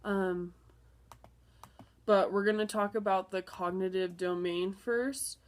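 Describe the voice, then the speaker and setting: A woman's voice: medium pitch, fairly even intonation, slow. A young woman with a stuffed-up nose from a cold, casually talking through a lesson plan with many fillers and restarts. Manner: chatty, filler-heavy, apologetic restarts. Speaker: student presenting a lesson plan. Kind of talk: casual spoken presentation.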